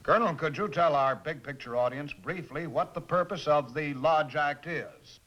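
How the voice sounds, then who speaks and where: Bright-voiced 1950s interviewer, man. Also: on the high side, normal speed. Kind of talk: scripted studio interview. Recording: dull lo-fi 1950s film sound.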